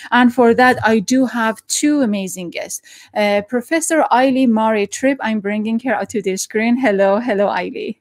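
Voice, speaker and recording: Middle-aged woman host, higher voice, dry close sound, halting with fillers, desk mic. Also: no echo. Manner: halting, many fillers and restarts. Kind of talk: hosted video interview. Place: home study with bookshelves. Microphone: headphones and desk mic.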